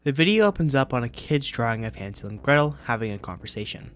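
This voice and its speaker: A man's voice: mid-range voice, very animated, fast, a bit bright. A man hosting a video review, narrating and commenting from a script. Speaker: YouTube host reviewing horror videos.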